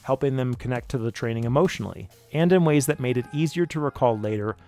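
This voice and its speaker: A man's voice: mid-range voice, quick pace, a little mellow. A man reading a scripted explainer narration about his production work. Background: background music.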